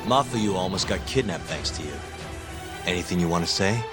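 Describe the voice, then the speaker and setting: Deep-voiced, cool investigator. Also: male voice, animated, a little fast. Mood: cool, direct. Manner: casual, direct. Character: cool, plain-spoken male investigator, sharp and direct. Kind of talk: naturalistic video game cutscene acting. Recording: music behind the voice. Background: background music.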